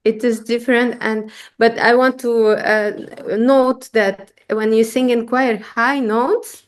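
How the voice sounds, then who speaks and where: Halting vocal coach woman, slow speech. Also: on the high side, fairly even intonation. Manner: halting, many restarts, explains with examples. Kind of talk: conversational interview answers.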